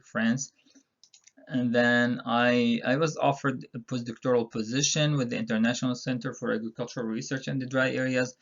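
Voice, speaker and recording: Middle-aged male professor from Palestine, relaxed lecture delivery with lots of fillers, some vocal fry, slightly muffled audio. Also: medium pitch. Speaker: university instructor. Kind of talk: recorded online course introduction.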